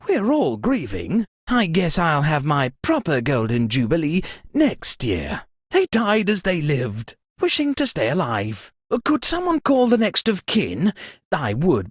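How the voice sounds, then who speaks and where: A pompous British aristocrat from a video game, flustered and easily indignant. His pitch swings wildly through every line with theatrical flourish. The voice has a husky edge and sits in a dry, close space. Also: no room sound, exaggerated voice. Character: pompous, flustered aristocratic lord hosting a murder party. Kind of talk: video game character voice acting.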